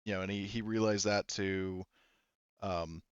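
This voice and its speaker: A man narrating a tabletop roleplaying game as game master in a casual, sweary, halting way. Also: deep voice, fairly even intonation, clear, resonant tone, no echo, dull audio.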